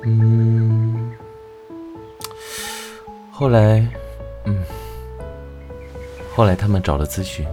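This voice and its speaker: Man playing a slow, low-voiced romantic young stylist. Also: over music. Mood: reflective. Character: devoted, tender young male stylist in love. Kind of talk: romantic audio drama acting.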